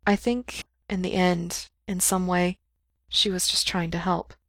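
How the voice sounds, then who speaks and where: Woman reading as a gentle, soft-spoken doctor. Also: fairly low pitch, flat delivery, dry recording, clean studio sound. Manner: soft and measured. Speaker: reader voicing a character.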